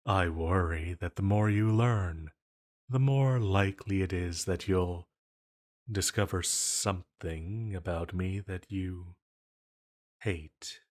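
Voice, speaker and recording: Slow, low-voiced ancient villain played by a man. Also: a little mellow. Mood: hesitant, vulnerable. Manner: flirtatious, hesitant, unhurried musing. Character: ancient banished villain entity, flirty, lonely, self-conscious. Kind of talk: intimate scripted roleplay audio.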